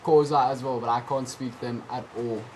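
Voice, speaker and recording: A teenage man with a South African accent and Afrikaans as his first language talks over a video call. He answers casually and haltingly, filling pauses with ums. A faint hum of background noise sits under his voice. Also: mid-range voice, steady tone, darkish tone. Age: teens. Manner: hesitant, lots of ums, friendly. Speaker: guest, junior tennis player.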